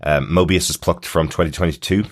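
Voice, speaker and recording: A man with an extremely deep voice co-hosts a casual TV podcast. He recaps in flowing, descriptive explanations, sounding agreeable and conversational. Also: steady tone, brisk. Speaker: podcast co-host. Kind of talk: casual TV episode recap and commentary.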